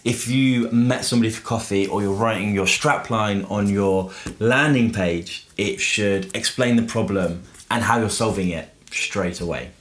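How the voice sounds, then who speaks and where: A man's voice: lowish voice, a little slow, few pauses, fairly bright tone. A man giving an informal review in an explanatory way, using everyday examples and questions. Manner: explanatory, uses everyday analogies and rhetorical questions. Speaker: book reviewer and software business owner. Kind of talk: informal book review to camera.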